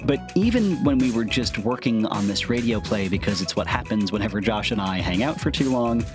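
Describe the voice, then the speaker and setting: Low-voiced male podcast host over guitar music. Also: lively intonation, fairly quick. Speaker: host and audio fiction writer. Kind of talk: conversational podcast introduction.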